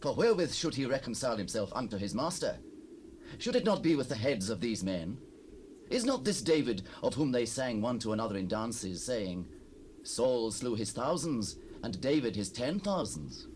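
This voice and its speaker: A man plays a suspicious, indignant warrior prince in a medium register with very lively intonation. He declaims in a Bible audio drama over faint tape noise. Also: medium pitch, moderate pace, lightly acted. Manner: declamatory, challenging. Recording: faintly noisy 1970s cassette rip.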